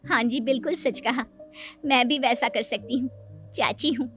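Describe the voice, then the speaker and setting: An eager, devoted young aunt from a cartoon family tale, played by a woman. Her affectionate voice is high and very bright, with earnest delivery. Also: normal speed, soft background music, natural voice. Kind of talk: cartoon moral story acting.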